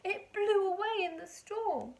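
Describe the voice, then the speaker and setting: A woman's voice: very high-pitched, lively intonation, measured pace, darkish tone, pitched above the performer's own voice, heavily acted. A woman voicing a wistful goat sailor from a storybook in a plaintive manner. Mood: wishful. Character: wistful goat sailor needing a new sail.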